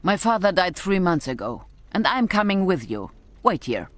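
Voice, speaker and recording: A woman's voice: lowish voice, very animated, moderate pace. An actress playing a cheeky, plucky young woman who works as a mech engineer in a video game. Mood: resolute. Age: young adult. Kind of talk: video game cutscene acting.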